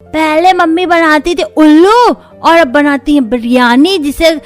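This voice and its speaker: A woman voicing a cheeky, excitable village child in a cartoon. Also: high-pitched, a little slow, faint music behind. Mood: gleeful.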